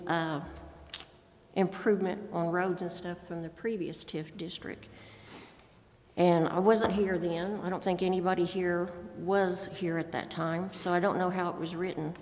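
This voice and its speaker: A woman's voice: lowish voice, a little mellow. An American woman making plain-spoken, folksy remarks as a council member at a public meeting. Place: council chamber. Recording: reverberant meeting room.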